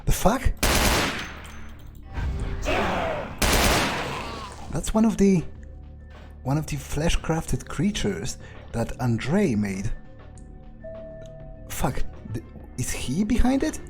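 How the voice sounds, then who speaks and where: A man voicing an arrogant, brooding vampire in a game playthrough, musing aloud in a halting, profane way. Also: fairly high pitch, sing-song, music in the background, heavily acted. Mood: baffled. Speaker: gaming YouTuber. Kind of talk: in-character game playthrough monologue, thinking aloud.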